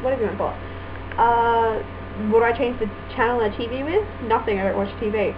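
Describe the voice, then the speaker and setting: A giggly young woman with a somewhat high voice, talking in a goofy, self-mocking way as she works through a vlog challenge. The webcam sound is muffled, with a clear mains hum underneath. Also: fast. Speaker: vlogger. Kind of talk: casual chat and reading aloud.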